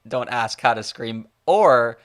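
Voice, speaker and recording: A man's voice: medium pitch, expressive, slow, clean tone. Guy hosting an interview over a call, chatty, mid voice, kinda rambly questions. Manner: supportive, chatty, thinks out loud. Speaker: podcast host.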